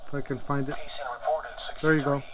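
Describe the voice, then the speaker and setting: A man giving a casual product demo as a video host, thinking aloud in a halting, tentative way. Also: mid-range voice, level tone, very noisy. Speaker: video host, gadget reviewer.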